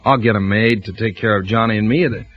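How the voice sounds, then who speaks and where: An actor playing a suspicious husband who also narrates the tale in a measured, brooding voice, in a 1940s radio drama. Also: male voice, lowish voice, natural voice. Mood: guarded. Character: suspicious husband, grim first-person narrator. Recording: lo-fi old radio broadcast with music.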